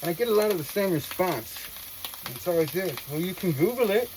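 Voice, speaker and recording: A woman's voice: on the low side, lively intonation, brisk, noisy background. A woman talking casually to viewers in an earnest, reflective monologue, with slangy, profane phrasing. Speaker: vlogger.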